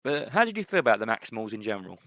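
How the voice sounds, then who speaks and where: A man's voice: fairly low pitch, big pitch swings, racing, a bit bright, no room sound, clean studio sound. A man co-hosting a podcast, chatting casually and enthusiastically about films, repeating himself for emphasis. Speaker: podcast co-host. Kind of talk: casual film discussion.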